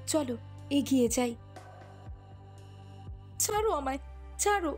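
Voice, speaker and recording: A woman's voice: high-pitched, animated, slow, a little mellow, soft background music. A woman playing an anxious but determined young winged fairy princess in a cartoon. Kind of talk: dramatic animated fairy tale acting.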